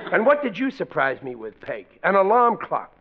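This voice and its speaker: Blundering working class sitcom dad, kinda high voice all over the place, blustery, old muffled radio show sound. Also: male voice, wildly swooping pitch, measured pace, full character voice. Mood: complaining. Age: middle-aged. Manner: blustering, ungrammatical, stammering restarts. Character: blundering, opinionated working-class family father. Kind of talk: 1940s radio sitcom acting. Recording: dull, lo-fi vintage radio recording.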